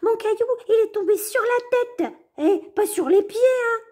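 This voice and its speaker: A squeaky cheeky mouse. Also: female voice, unhurried, a little mellow. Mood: teasing. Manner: teasing, squeaky. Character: cheeky little female mouse.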